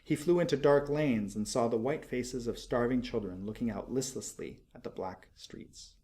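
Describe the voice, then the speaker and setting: A male audiobook narrator with a mid-pitched voice reads a literary tale. His delivery is expressive yet measured, with each phrase set out deliberately.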